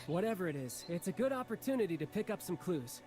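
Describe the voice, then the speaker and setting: An actor playing a level-headed young male adventurer who speaks in a calm, measured way. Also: high voice, soft background music.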